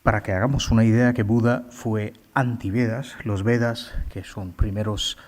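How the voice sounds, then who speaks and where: Male poet, low-pitched, unhurried and halting, recorded with long hall reverb. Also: steady tone, a little mellow. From India. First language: Bengali. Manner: slow, halting, many filler sounds. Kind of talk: poetry reading with commentary.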